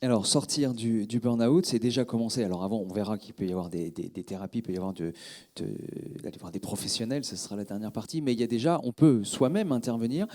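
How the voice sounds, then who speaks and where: A man moderating a talk, putting brisk, halting questions with repeated words to the speaker. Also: lowish voice, mellow.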